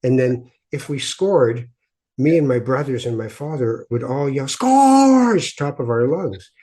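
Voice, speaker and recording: Middle-aged guy hosting a podcast, warm mellow tone, bit husky, reflective stories. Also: medium pitch, animated, a little slow. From Morocco (Tangier). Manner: reflective storyteller, many restarts. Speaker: podcast host. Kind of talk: casual conversation.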